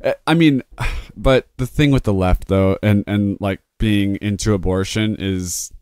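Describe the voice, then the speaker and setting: Low, smooth-voiced man in his twenties. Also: measured pace, darkish tone, dry recording, clean studio sound. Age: 20s. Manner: rambling, swears freely, laughs often. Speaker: podcast host. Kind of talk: casual chat.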